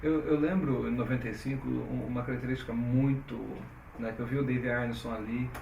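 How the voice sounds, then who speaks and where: A man's voice: mid-range voice, fairly even intonation, brisk, darkish tone, muffled recording. A man who asks interview questions hesitantly and backs the other speaker with frequent murmured agreement. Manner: hesitant, frequent "uhum" backchannels. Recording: distant, roomy, clear background noise.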